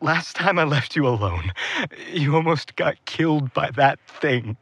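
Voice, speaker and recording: A man's voice: fairly high pitch, expressive, a little slow, fairly bright tone, husky, exaggerated voice. Man acting a protective, exhausted big brother. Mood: anxious, stern. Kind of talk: audio drama acting.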